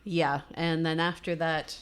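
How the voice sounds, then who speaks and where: A woman co-hosting a podcast in a relaxed, chatty way, often agreeing with her co-host. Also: deep voice, unhurried, clear, resonant tone. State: recovering from lost voice. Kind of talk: casual chat.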